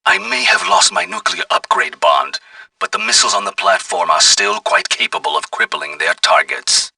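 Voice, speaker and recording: An actor playing an arrogant, menacing megalomaniac villain, delivering theatrical taunts in a video game. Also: male voice, high-pitched, sing-song, normal speed, vocal fry, pushed and bright, no echo, far from the mic, heavily acted, rough recording. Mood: gloating menace.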